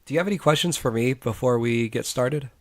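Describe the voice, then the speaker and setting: A man hosting a podcast, speaking earnestly with measured pauses, a little congested from a cold. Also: mid-range voice, dry recording, studio quality. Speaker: podcast host. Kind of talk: podcast intro and interview.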